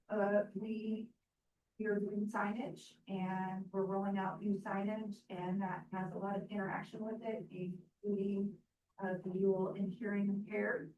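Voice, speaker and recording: A woman presenting at an event, speaking at an unhurried pace with some fillers. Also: mid-range voice, narrow, tinny audio. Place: event room. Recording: roomy, distant mic with faint noise.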